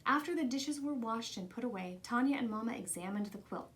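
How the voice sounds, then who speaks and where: A woman reads a children's story aloud. Her voice sits a little high, and she delivers the text as a storybook narrator would. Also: expressive, brisk, a bit bright. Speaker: storybook reader.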